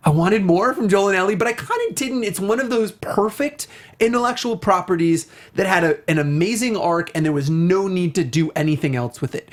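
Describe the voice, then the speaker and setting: A man's voice: high voice, a little fast. A man hosting a talk show, chatting casually and emphatically about a video game with plenty of enthusiasm.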